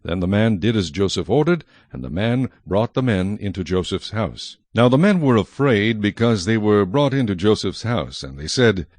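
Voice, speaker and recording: A man narrates scripture in a dramatized audio style. His voice is low and very animated in pitch, with a dry studio sound. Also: moderate pace, hardly any pauses, no room sound.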